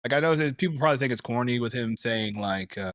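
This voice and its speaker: Animated man on a dry podcast mic. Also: medium pitch, fast talker, no room sound. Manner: animated, laughs often, swears casually. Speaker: podcast co-host. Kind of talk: casual chat.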